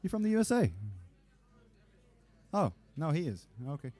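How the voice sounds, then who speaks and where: A man's voice: a little high, big pitch swings, normal speed, mellow, soft background music. A man in his thirties, a band frontman, joking with the audience between songs on a small club stage, speaking into a stand mic. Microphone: vocal mic on a stand.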